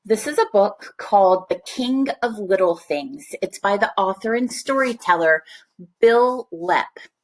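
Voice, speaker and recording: Slow, expressive woman reading a storybook. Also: mid-range voice, rough recording. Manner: expressive, deliberate phrasing. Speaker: storybook reader. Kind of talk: read-aloud storytelling for children.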